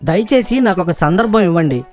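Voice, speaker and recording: A man's voice: high-pitched, lively intonation, moderate pace, soft background music. A man voicing a servile, scheming, overconfident fox in a children's cartoon, with a fawning manner. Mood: eager, boastful.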